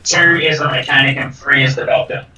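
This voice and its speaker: A man's voice: medium pitch, steady tone, reverberant, recorded from a distance, a crowd in the background, poor audio. A man playing in a tabletop game, bossing his party around in casual chat. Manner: bossy, giving quick orders to his droids. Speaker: player.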